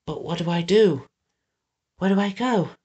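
A man's voice: sits high, animated, moderate pace, breathy edge, voice pushed up, full character voice. A man voicing an anxious, frightened young boy in a storybook, fretting and muttering to himself. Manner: muttering, fretful thoughts.

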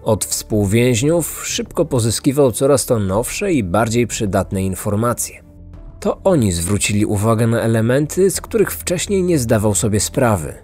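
A man's voice: mid-range voice, expressive, a little slow, darkish tone. Male true-crime narrator over music. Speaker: podcast narrator. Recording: music underneath.

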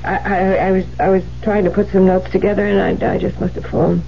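An actress playing a haunted, guilt-ridden widow in a 1970s radio drama, anxious and halting. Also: female voice, medium pitch, fairly even intonation, creaky, slight background noise, natural voice. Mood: flustered, evasive. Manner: halting, breaking off, murmuring. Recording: dull lo-fi 1970s radio broadcast.

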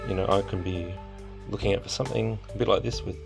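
A very low-voiced man with a creaky, gravelly edge talks casually into a phone around his car. He rambles in an understated way, like a hobbyist thinking aloud as he works. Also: fairly even intonation, fast, over music. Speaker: car audio hobbyist vlogger. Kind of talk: casual unscripted vlog commentary. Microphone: handheld phone.

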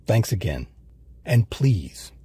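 An American man with a husky, mellow voice, reading scripted narration as a series host. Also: fairly low pitch, sing-song, takes it slowly, no room sound. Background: music.

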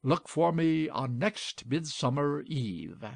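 A stately king of the trees voiced by a man speaks slowly and solemnly. He is kindly and sorrowful, a measured storybook monarch heard in a very dry recording. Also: on the high side, expressive, creaky, no echo, heavily acted. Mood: solemn, consoling. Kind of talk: storybook character acting.

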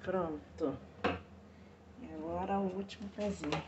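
A woman's voice: lowish voice, steady tone, unhurried, muffled recording, heavy background noise. Chatty woman vlogging in her kitchen. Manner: chatty, repeats "gente" often, pleading for likes. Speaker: home DIY vlogger. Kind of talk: casual chatty vlog, talking to viewers. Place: small tiled home kitchen, indoors. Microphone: phone camera held close.

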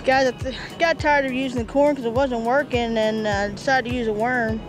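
A woman's voice: fairly high pitch, fairly even intonation, fairly quick, music in the background, poor audio. A young woman vlogging while fishing by a creek, chatting straight to her viewers through a handheld action camera. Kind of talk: casual outdoor vlog talk. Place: outdoors by a creek. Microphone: action camera held at arm's length.

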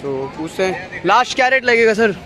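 A man's voice: sits high, animated, fairly quick. A young man, chatting casually to his camera while walking through a crowded outdoor market and sprinkling his talk with "like" and "guys". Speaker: vlogger. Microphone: handheld camera. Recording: noisy crowd around.

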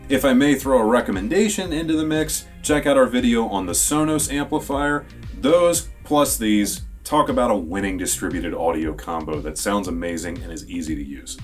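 Casting: American male product presenter, lowish pitch, enthusiastic and emphatic sales delivery, music underneath. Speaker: product presenter for an audio store. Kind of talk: scripted product review to camera. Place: small indoor studio with dark backdrop.

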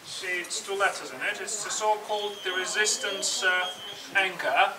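A man's voice: high voice, fairly even intonation, normal speed, a crowd in the background. Echoey tour guide man, far off. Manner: explains with fillers and "yeah?" checks. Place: indoor museum halls. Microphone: far camera. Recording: echoey hall from far off, noisy.

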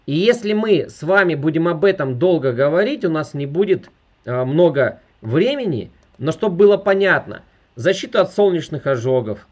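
A man's voice: fairly high pitch, muffled recording. A man in his thirties giving an emphatic sales presentation over a video call, constantly appealing to his viewers. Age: 30s. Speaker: network marketing presenter. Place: indoor room with furniture.

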